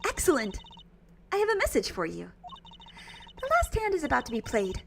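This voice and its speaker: A woman's voice: high-pitched, huge pitch swings, normal speed, gravelly, a bit bright, a little hiss or hum, voice pushed up, exaggerated voice. A woman voicing a cheerful teenage girl stage magician who speaks in a theatrical, mysterious way.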